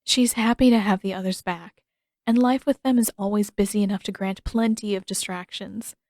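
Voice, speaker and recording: A woman reading story narration in a measured, expressive storytelling manner. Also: mid-range voice, no room sound, studio quality. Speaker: fan fiction narrator.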